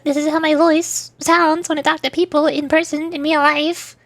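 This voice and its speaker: A woman with a small, squeaky, girlish voice, chatting casually and earnestly to viewers on a livestream. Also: little pitch movement, moderate pace, a bit bright, no echo. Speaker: streamer and VTuber, voice actor.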